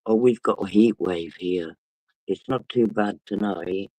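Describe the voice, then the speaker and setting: A man's voice: on the low side, measured pace, warm tone, no room sound, rough recording. A man from England with a British accent, casually chatting with viewers on a late-night phone stream because he can't sleep. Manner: chatty, greets viewers by name. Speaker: livestream host.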